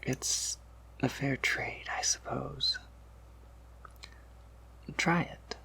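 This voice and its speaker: A man playing a soft-spoken vampire apothecary in a whispered ASMR roleplay, patiently explaining in a measured, slightly wry and knowing way. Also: medium pitch, fairly even intonation, exaggerated voice. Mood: calm, instructive. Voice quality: breathy whisper with creaky undertone.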